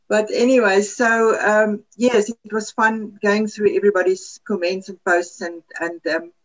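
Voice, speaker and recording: A woman's voice: medium pitch, a bit bright. Woman with a lo-fi sound. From South Africa. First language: Afrikaans. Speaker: podcast panelist. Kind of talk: casual chat.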